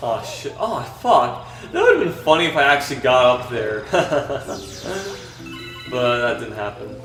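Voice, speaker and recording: A young man with a dry, monotone voice chatting hesitantly while he plays a video game, stumbling through many fillers and restarts. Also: medium pitch, a little slow, recorded from a distance. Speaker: vlogger. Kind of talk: rambling chat while playing a video game. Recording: echoey room with game music behind.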